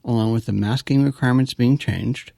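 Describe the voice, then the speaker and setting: A man with an American accent and a gravelly voice, hosting an interview program in a scripted presenting style. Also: medium pitch, fairly even intonation, fairly quick, dark tone, dry recording, studio quality. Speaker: podcast host and interviewer. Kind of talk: scripted introduction and interview narration.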